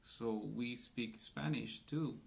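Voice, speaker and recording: Slow-talking gravelly Colombian-born teacher. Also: male voice, mid-range voice. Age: 30s. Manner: slow, simple speech for beginners; chatty, greets viewers by name. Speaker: English teacher. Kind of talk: live online English lesson.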